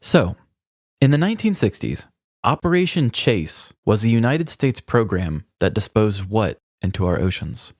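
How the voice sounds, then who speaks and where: A man's voice: on the low side, sing-song, moderate pace, darkish tone, dry recording, studio quality. A man co-hosting a podcast in casual chat, with an informal, joking way of talking. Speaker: podcast co-host.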